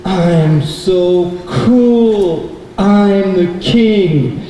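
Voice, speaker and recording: Guy doing a mean show-off lion for a kids puppet show, singing real slow, big echoey room. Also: high voice, mellow, pitched above the performer's own voice. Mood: smug boasting. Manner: drawn-out boastful singing. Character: vain, mean lion king singing boasts. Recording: echoey hall, distant mic, faint noise.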